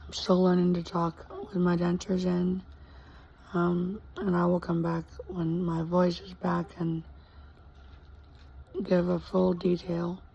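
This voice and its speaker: A woman narrates her own recovery diary in a low-key, steady voice that barely rises or falls. Her tone has a gravelly edge, and she talks in a halting way, pausing often on fillers. A faint hiss sits under the recording. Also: low-quality recording. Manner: halting, many "um" pauses, soft-spoken. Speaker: vlogger.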